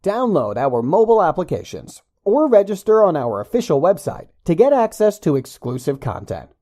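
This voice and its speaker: A man's voice: high-pitched, huge pitch swings, moderate pace, dark tone. A man reading a scripted promotional announcement.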